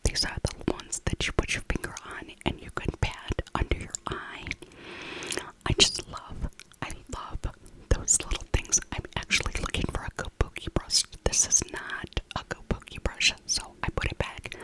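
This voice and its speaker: A middle-aged woman narrating an ASMR voiceover in a soft breathy whisper, close to the mic, often repeating her words and phrases. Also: rough recording. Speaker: ASMR vlogger.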